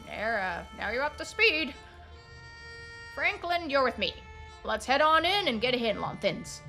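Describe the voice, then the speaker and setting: A woman's voice: high-pitched, very animated, normal speed, bright, forceful tone, faint music behind, voice pushed up, heavily acted, poor audio. A woman voicing a weary male small-town sheriff in a game, placating yet authoritative. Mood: weary, firm.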